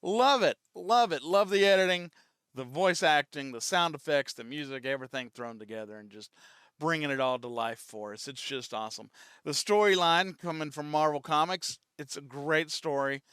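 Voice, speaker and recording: Guy doing casual fan commentary, smooth clear voice on the higher side, excited, close dry sound. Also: moderate pace, no echo. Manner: enthusiastic, repetitive. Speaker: reaction host. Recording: faint music behind the voice.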